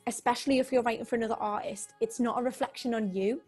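Young british girl hosting a video call chat, kinda high voice, lots of ums and likes. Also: steady tone, fast, a little mellow, soft background music. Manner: rambling questions with many "like" and "um". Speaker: interviewer. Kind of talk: casual video call interview. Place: home room indoors. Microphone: video call webcam.

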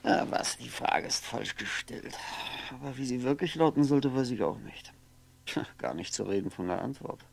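An actor playing a lonely, brooding man in a radio drama monologue, musing haltingly to himself, wistful and wry, at times dropping to a whisper. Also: medium pitch, expressive, creaky, breathy edge. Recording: noisy 1960s radio recording, faint hum.

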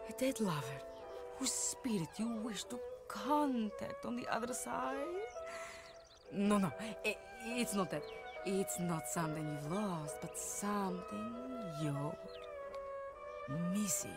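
A woman's voice: mid-range voice, expressive, takes it slowly. A woman playing a mysterious, coaxing fortune teller and dealer in curiosities in a theatrical game cutscene. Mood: mystical. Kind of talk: video game cutscene acting. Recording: music behind the voice.

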